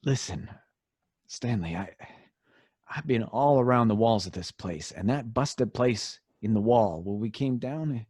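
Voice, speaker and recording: Husky, jittery male accomplice. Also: medium pitch, a little slow, a little mellow, no echo, clean studio sound. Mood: alarmed. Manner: jittery, stammering, complaining. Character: nervous, grumbling male accomplice in a caper. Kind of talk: old-time radio drama acting.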